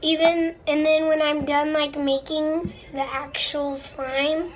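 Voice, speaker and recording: Stuffy high-voiced young girl. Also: level tone, takes it slowly. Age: child. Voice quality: stuffy, congested nose. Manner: rambling, apologetic. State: stuffed-up and under the weather. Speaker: slime video maker. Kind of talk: casual chat to viewers while crafting. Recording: noisy amateur recording with clicks.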